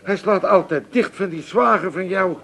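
An actor playing a boastful, pedantic old drinker in a comedic radio play, argumentative and pompous. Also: male voice, high voice, normal speed, vocal fry, a bit bright. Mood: boastful, comic. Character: boastful old boozer, hypochondriac pedant correcting grammar.

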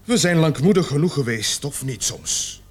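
An actor playing a stern, insistent police officer in a radio play, questioning formally and with authority. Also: male voice, fairly high pitch, animated, normal speed, breathy edge. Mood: stern, commanding. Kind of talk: Dutch radio play acting.

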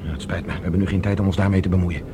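An actor playing a level-headed, decisive man in a science fiction radio drama, calm and commanding. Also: low-pitched, very fast, natural voice. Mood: wary. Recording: faintly noisy radio play recording.

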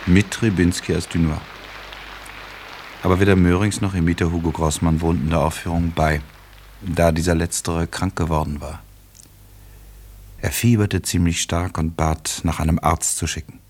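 Very deep, husky male narrator. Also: little pitch movement, moderate pace, pauses often, darkish tone. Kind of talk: scripted literary narration for a radio play.

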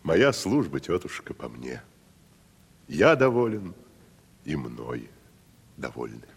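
An actor playing a pompous, worldly wandering gentleman who declaims theatrically in a classic Russian radio drama. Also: extremely low pitch, big pitch swings, takes it slowly, vocal fry, slightly rough, full character voice. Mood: solemn, lofty. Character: worldly, theatrical wandering gentleman, grandiloquent nephew of a rich landowner. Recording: long hall reverb.

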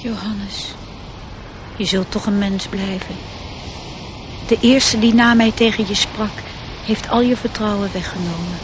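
A woman's voice: medium pitch, fairly even intonation, measured pace, long pauses, natural voice. Wise protective elf, a woman acting, noisy recording. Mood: sad, reproachful. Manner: admonishing, earnest. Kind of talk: radio drama acting. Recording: noisy radio drama recording.

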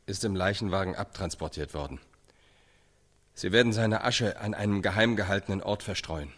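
An actor playing a prison inmate who recites hard facts in a declamatory, clipped way in a radio drama. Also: male voice, lowish voice, fairly bright tone. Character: male prison inmate performing in a documentary stage play.

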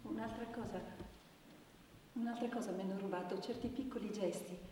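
A woman's voice: mid-range voice, steady tone, a little fast, lightly acted. An actress playing a wistful young woman making a complaint, earnest, plaintive and hesitant in a theatrical style. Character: wistful young woman reporting her stolen dreams. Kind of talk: theatrical stage acting. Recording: very echoey hall from far off, noisy.

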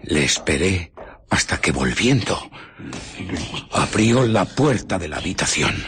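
An actor playing a ruthless, irritable fugitive scientist in a radio drama, husky and often dropping to a whisper as he recounts and justifies his deeds. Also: low voice, sing-song, unhurried, background noise. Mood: brooding recollection. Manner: dramatic, brooding, self-justifying. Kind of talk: radio drama narration and dialogue.

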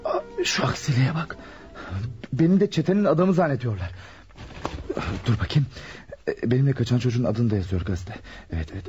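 A man's voice: medium pitch, lively intonation, fairly quick, darkish tone, faint music behind, lightly acted. An actor playing a nervous ordinary man caught up in a crime in a radio drama, hesitant and flustered. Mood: alarmed. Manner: muttering to himself, hesitant, flustered. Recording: dull lo-fi radio recording.

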